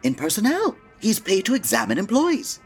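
A woman playing a fretful, excitable store manager who fears the boss, in a comic radio drama. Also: medium pitch, normal speed, breathy edge, faint music behind. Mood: flustered. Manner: fretful, flustered.

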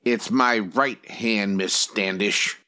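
An actor playing a pompous, nervous and easily irritated company examiner in a comic radio drama. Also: male voice, lowish voice, slow, pushed and bright, smooth. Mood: flustered, irritated. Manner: officious, pompous, clipped questioning.

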